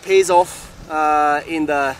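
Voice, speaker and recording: A middle-aged man with a slightly high voice talks to camera as a coach and camp host. His delivery is halting and unscripted. Clear outdoor noise sits behind him on the open slope. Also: steady tone. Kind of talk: talk to camera, promotional recap. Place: outdoors on a snowy mountain slope. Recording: clearly noisy outdoor location sound.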